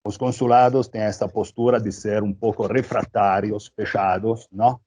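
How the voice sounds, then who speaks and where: A man's voice: on the low side, fairly even intonation, moderate pace, a little mellow, dry recording. A man from Italy with an Italian accent, a native Italian speaker, explaining things methodically as he answers an interview. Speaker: candidate for a community committee, interviewee.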